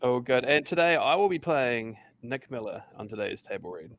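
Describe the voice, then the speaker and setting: A man hosting a podcast in an excitable, hyped-up way, drawing words out as he makes casual introductions. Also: medium pitch, moderate pace, highs cut off. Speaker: podcast host.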